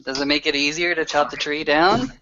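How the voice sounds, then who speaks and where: A tabletop roleplayer telling a tale in character, in grandiose, theatrical phrases with dramatic pauses. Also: mid-range voice, sharp tone, dull audio, slight background noise. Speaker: player in a tabletop roleplaying game. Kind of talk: in-character storytelling in a tabletop game.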